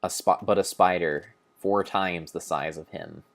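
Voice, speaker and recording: Deep-voiced man, grandiose dramatic narrator. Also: fairly even intonation. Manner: grandiose, theatrical, with pauses and self-corrections. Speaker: narrator of a video game playthrough. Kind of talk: mock-epic dramatic storytelling, improvised.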